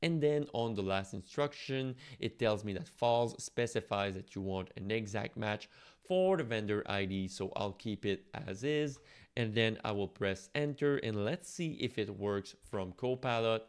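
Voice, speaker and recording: Guy walking thru a software tutorial, lowish clear smooth voice. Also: unhurried. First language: French. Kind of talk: conversational step-by-step screen tutorial.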